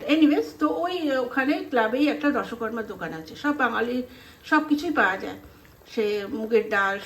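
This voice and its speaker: A woman's voice: fairly high pitch, a bit bright. A middle-aged woman with an Indian Bengali accent, telling casual, rambling stories as she cooks at home and acting out remembered conversations. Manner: chatty, rambling, acts out remembered dialogue. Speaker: home cooking vlogger. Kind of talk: casual storytelling while cooking. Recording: roomy echo, some background noise.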